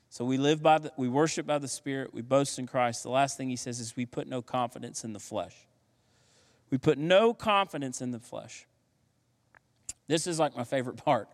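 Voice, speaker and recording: A man preaching a sermon as pastor, lively and playful, with slangy asides. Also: medium pitch, in a large hall.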